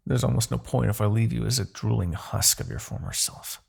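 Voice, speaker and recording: A man playing an arrogant, possessive demon king in a husky, theatrical ASMR fantasy roleplay. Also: fairly low pitch, a little mellow, full character voice. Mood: gloating. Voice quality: husky, gravelly. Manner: theatrical, deliberate, swinging from murmurs to outbursts. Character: arrogant, possessive male demon king, obsessed with captive hero. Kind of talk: ASMR roleplay, dramatic monologue.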